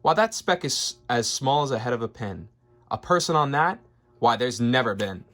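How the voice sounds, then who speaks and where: A man's voice: medium pitch, sing-song, normal speed, a bit bright, faint music behind. A man voicing a sour, disdainful female kangaroo in a storybook, scornful and emphatic. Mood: scoffing.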